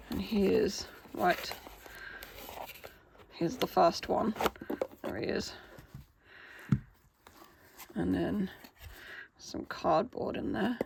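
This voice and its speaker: A woman, hoarse from a cold, chatting casually as she unboxes toys. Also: medium pitch, noisy background. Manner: chatty, with frequent "um" fillers. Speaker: toy unboxing channel host.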